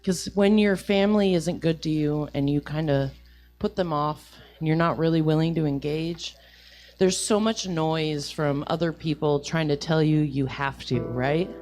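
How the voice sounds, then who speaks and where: A woman's voice: sits low, normal speed, a little mellow, faint music behind. A woman musician talking casually as a guest on a show and introducing songs.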